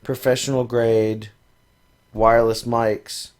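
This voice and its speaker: A man co-hosting a podcast in casual chat, hoarse from losing his voice and laughing often. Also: mid-range voice, little pitch movement, measured pace. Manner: laughs often, rambling and loose. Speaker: podcast co-host.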